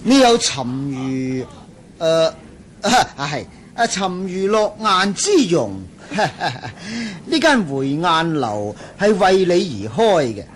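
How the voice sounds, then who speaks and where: A man's voice: on the high side, big pitch swings, slow, a little hiss or hum. A man acting in a Cantonese martial-arts radio drama from Hong Kong, voicing a boastful, menacing, lecherous bandit. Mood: leering menace. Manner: boastful, taunting.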